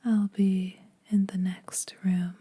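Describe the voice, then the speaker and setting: A woman voicing a teasing, predatory yet protective female vampire in a breathy whispered ASMR roleplay, speaking slowly and a little formally. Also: mid-range voice, flat delivery, warm tone. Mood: dry teasing. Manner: slow, deliberate, faintly formal teasing.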